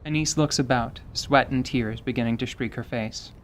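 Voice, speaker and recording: A man's voice: mid-range voice, steady tone, normal speed, a little hiss or hum. Man narrating a fantasy drama.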